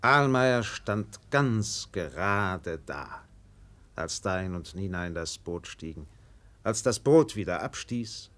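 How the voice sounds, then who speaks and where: A man narrating a literary radio play in a solemn, measured way with dramatic pauses. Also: sits low, animated, fairly bright tone.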